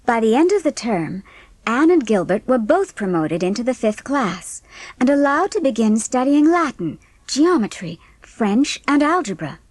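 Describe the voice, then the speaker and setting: A woman narrator reading a scripted storybook in a measured, expressive way. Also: a little high, no echo.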